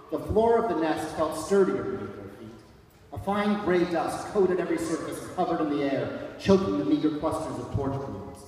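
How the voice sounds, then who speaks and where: A man's voice: fairly high pitch, darkish tone. A man giving a dramatic reading of a story aloud, recorded with a distant microphone in a large room. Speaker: author reading from a book. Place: large echoey room at an elementary school. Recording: very echoey hall from far off, faint noise.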